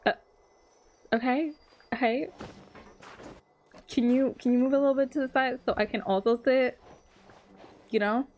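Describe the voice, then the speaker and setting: A woman's voice: high-pitched, fairly even intonation, unhurried, pauses often, darkish tone, slight background noise. A young woman streaming a game, giving casual, playful, slangy reactions. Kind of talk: casual live reaction commentary. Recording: game music behind the voice.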